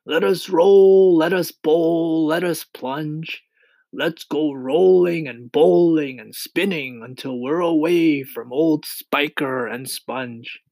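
A man's voice: a little high, slow, darkish tone, dry recording, pitched above the performer's own voice. A man playing a boastful, exuberant centipede, declaiming mischievous sing-song rhymes in a children's storybook reading.

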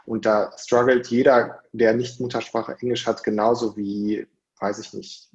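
A man's voice: on the low side, little pitch movement, a little slow, muffled recording. A man advising a prospective doctoral student in a casual conversation, chatty and long-winded, with many fillers.

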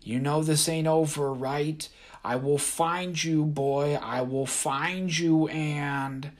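A man voicing a sinister male haint made of cotton, with a raspy, drawling, folksy way of talking. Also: medium pitch, very animated, moderate pace, fairly bright tone, voice pushed up, heavily acted. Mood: menacing. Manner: drawling, folksy Southern-style talk.

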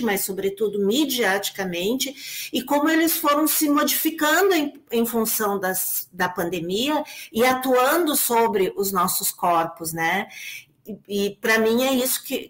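A woman's voice: on the high side, fairly bright tone. Reflective Brazilian woman in academic discussion. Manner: reflective, hesitant, analytical, with frequent tag questions. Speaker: academic commentator on a research paper. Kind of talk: academic discussion in a live online debate.

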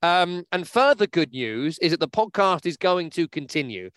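A man's voice: on the high side, sing-song, moderate pace, a bit bright, no room sound. A man hosting a football podcast, talking in a chatty, upbeat way with many fillers. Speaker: podcast host. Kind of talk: casual podcast chat. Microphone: remote podcast mic.

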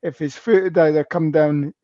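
A man's voice: on the high side, fairly even intonation, normal speed, dark tone, no room sound, highs cut off. A man co-hosting a fan podcast, talking football in a rambling, casual way with many fillers. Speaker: podcast co-host. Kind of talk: casual sports chat.

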